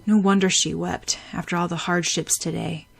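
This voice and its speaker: A woman voicing a gruff, protective young man who speaks in a low-key way. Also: fairly even intonation, moderate pace. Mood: brooding.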